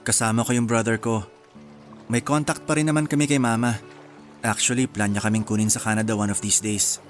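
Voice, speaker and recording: An actor with a Filipino accent playing an easygoing, sentimental young man in a radio drama, relaxed and reflective. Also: on the low side, fairly quick, faint music behind, lightly acted. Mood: wistful. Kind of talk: Tagalog radio drama acting.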